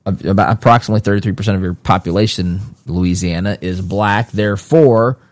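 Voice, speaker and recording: A lower-pitched man talking through a news article in a casual solo commentary. He reads a passage, then drifts into conversational asides, restarting phrases as he goes. The sound is close and dry with no room around it. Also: fairly quick, no room sound. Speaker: political commentary host.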